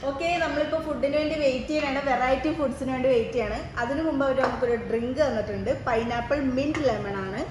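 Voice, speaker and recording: A woman's voice: high-pitched, quick pace, few pauses. A woman chatting casually and eagerly about the food on a restaurant vlog. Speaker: food vlogger. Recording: echoey noisy restaurant.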